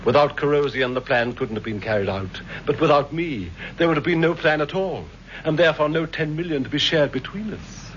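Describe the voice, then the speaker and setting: An actor playing a formal, self-satisfied criminal schemer in a vintage radio crime serial. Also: male voice, on the high side, fairly quick, fairly bright tone, close to an everyday voice. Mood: gloating. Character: smug middle-aged or older male criminal schemer. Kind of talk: vintage radio drama acting. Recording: dull, faintly noisy vintage radio recording.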